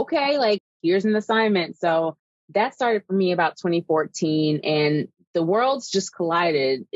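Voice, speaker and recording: A woman's voice: lowish voice, no room sound, dull audio. A woman in her thirties, a podcast guest, telling her story in a chatty way with lots of "like" and "um". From United States. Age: 30s. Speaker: guest, wine writer. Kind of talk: casual podcast interview.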